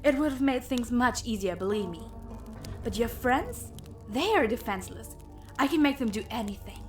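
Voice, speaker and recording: A woman's voice: a little high, lively intonation, normal speed, close to an everyday voice. A woman playing a cruel, mocking female villain in an audio drama, with theatrical menace and music behind her. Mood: cold menace. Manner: taunting, theatrical.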